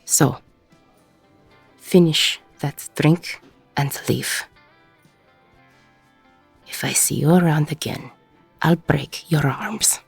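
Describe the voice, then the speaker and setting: A woman playing a weary, gruff, middle-aged ex-con bartender, husky and breathy, blunt and self-deprecating, in an intimate audio roleplay. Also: sits low, very slow, pauses often, no echo, faint music behind, heavily acted. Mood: cold, menacing.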